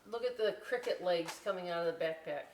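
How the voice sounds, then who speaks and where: A woman's voice: lowish voice, a little fast, roomy sound. A woman hosting a story time, reading aloud in a casual, playful way with chatty asides for children. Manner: playful, informal, often checks in with "right?". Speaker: library story time host. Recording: distant mic, faint background noise.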